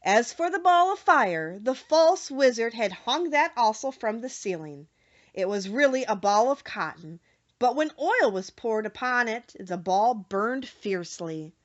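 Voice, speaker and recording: A woman reads a storybook aloud as an audiobook narrator. Her voice is high and expressive with wide swings in pitch, on a slightly dull recording. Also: measured pace.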